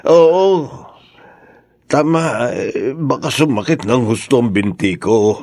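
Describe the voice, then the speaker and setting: A man's voice: medium pitch, animated, darkish tone, no echo, studio quality. An actor playing an elderly, humble father in a radio drama, hesitant and stammering, self-effacing as he talks. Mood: evasive, halting. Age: older. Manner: halting, stammering, deferential. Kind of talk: Tagalog radio drama acting.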